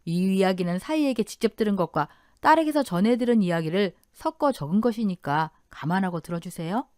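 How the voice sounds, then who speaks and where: A woman's voice: medium pitch, a little mellow, dry recording, studio quality. A woman narrating a scripted dramatic family story in the first person.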